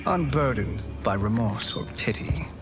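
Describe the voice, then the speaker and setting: An actor playing a cunning, persuasive male sorcerer in a fighting game, speaking in measured, formal oratory. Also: fairly low pitch, expressive. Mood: scheming, assured. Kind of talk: cinematic fighting-game acting. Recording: loud cinematic music behind. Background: loud music.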